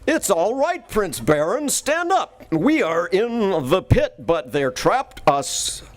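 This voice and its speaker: Dude playing an earnest heroic spaceman, slow and kinda high, voice jumps around. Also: big pitch swings, heavily acted. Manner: earnest, declamatory. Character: brave heroic young male space adventurer. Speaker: community theater actor. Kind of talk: live amateur radio play.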